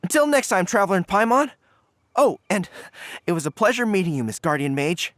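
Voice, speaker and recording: A man's voice: sits high, sing-song, fairly quick, a bit bright, no room sound. A man voicing a polite, earnest young military commander in a video game, formal and courteous. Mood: formal, eager. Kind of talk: English video game voice acting.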